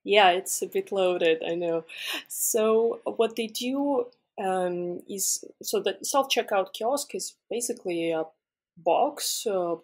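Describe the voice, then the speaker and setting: A woman's voice: medium pitch, slow, husky. A young woman from Russia, a guest on an interview podcast, explaining her work in a friendly way with frequent "uh" and "um". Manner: friendly, explains step by step with fillers. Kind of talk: casual interview answers.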